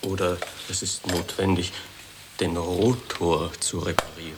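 A man's voice: sits low, little pitch movement, measured pace, background noise. An actor playing a muddled, ponderous academy member in an absurdist radio comedy, hesitant in his speech. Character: slow, ponderous, muddled male academy guest. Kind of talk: absurdist comic radio play acting.

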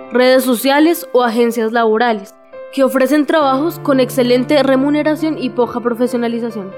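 A woman's voice: fairly high pitch, darkish tone. A woman radio narrator delivering scripted, informational narration.

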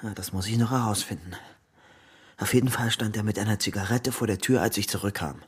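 A man's voice: lowish voice, a little fast, breathy edge, no room sound, clean studio sound. An actor playing a guarded, tense young man on the run in a German radio crime drama, understated in delivery. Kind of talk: German radio crime drama, acting and first-person narration.

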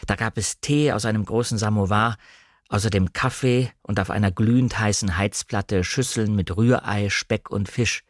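A man's voice: lowish voice, fairly even intonation, normal speed, dry recording, lightly acted. Man reading as a shy, nervous female narrator, dry sound. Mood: reflective, intimidated. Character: shy, nervous, insecure young woman narrating her memories. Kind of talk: first-person audiobook narration of a novel.

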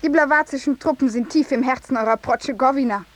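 An actress playing a spirited, haughty and naive young daughter of a general in a 1950s radio play. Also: female voice, high voice, fast. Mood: proud defiance.

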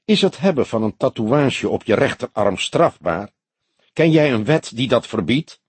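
A man's voice: medium pitch, very animated, breathy edge, no echo. Man reading as an unruffled, sarcastic veteran detective. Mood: laconic. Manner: laconic, deliberate, ironic. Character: grey-haired veteran police detective, unruffled, dryly sarcastic. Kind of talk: Dutch audiobook character dialogue.

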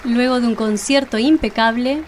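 A woman's voice: on the high side, normal speed, a faint crowd, a little hiss or hum. A woman reading scripted narration for a radio drama. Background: music.

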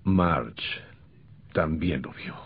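Low, husky, uneasy neighbour. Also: male voice, animated, very slow, full character voice. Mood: guarded, uneasy. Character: secretive, uneasy male neighbour. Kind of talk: old radio horror serial acting. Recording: muffled old radio recording.

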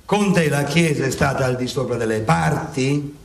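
A man's voice: medium pitch, moderate pace, vocal fry, close to an everyday voice. A man playing a boastful, needling friar saint in a satirical stage play, declaiming with sarcastic, mock-courtly flourishes. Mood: accusing, sarcastic. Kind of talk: theatrical satirical stage monologue and debate. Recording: echoey stage, distant mic, faint noise.

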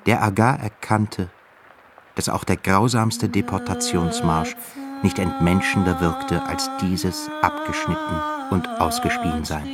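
A man narrating a literary radio play in a grave, measured manner. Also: deep voice, huge pitch swings, a loud crowd. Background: music.

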